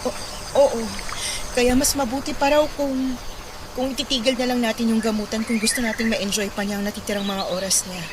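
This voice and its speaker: High-voiced, halting elder sister, played by an actress. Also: female voice, fairly even intonation, noisy background, close to an everyday voice. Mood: hesitant, grave. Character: sensible, hesitant elder sister bearing grave news. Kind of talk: radio drama acting.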